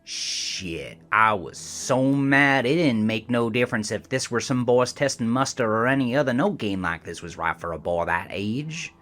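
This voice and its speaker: A man's voice: mid-range voice, animated, normal speed, few pauses, fairly bright tone, close to an everyday voice. A man playing a cranky, aging rural American trucker, telling a first-person horror story in a folksy, profane, rambling way. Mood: angry. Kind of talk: first-person horror audio drama narration.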